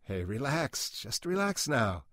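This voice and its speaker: A man's voice: mid-range voice, very animated, takes it slowly, fairly bright tone, dry recording, studio quality, pitched above the performer's own voice, full character voice. A man voicing a pushy, manipulative record company man who coaxes and needles insistently in a dramatic audiobook reading. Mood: placating, persuasive.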